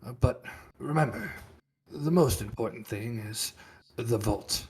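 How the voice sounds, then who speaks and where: A man voicing a mysterious heist patron as game master, measured and storyteller-like, with a husky voice. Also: mid-range voice, a little mellow. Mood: measured, cryptic. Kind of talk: in-character tabletop roleplay.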